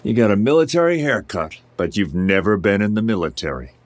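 A man's voice: fairly low pitch, sing-song, brisk, no echo. A man playing a mocking, swaggering, blunt ex-Marine enforcer in an audio drama. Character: cocky, needling, foul-mouthed ex-Marine tough guy. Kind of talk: audio drama acting, taunting monologue.

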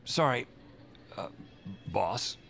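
An actor playing a wry, beleaguered emergency call operator who narrates his own story in a deadpan way in an audio drama. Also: on the low side, very slow, vocal fry, background noise, exaggerated voice. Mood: flustered. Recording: music behind the voice. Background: music.

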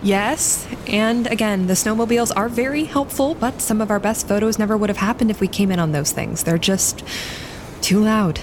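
An actress playing an earnest, composed wilderness photographer on expedition, matter-of-fact and measured, in a naturalistic audio drama. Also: female voice, close to an everyday voice. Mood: assured. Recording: clear outdoor ambience and rumble.

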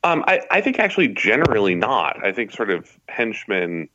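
A man giving halting, analytical commentary as a guest in a conversational interview. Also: lowish voice, big pitch swings, pushed and bright, dry recording, highs cut off. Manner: halting, analytical, frequent hedging phrases. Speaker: guest journalist.

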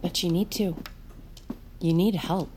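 Actress as worried middle-aged mom in an audio drama, patient but firm, clear vehicle noise around her. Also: on the low side, steady tone, unhurried, darkish tone, close to an everyday voice. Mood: insistent. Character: concerned, insistent mother of a grieving teen. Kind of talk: naturalistic audio drama acting.

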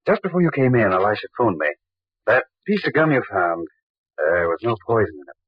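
A man's voice: fairly low pitch, sing-song, a little fast, breathy edge, no echo. An actor playing a concerned, questioning man devoted to his sweetheart, in 1940s radio style. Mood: reassuring. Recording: dull, lo-fi old radio recording.

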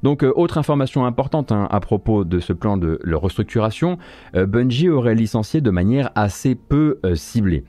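A man's voice: on the low side, lively intonation, normal speed, warm tone, dry recording. A man hosting a morning video game news show, commenting casually with many fillers, self-corrections and wry asides.

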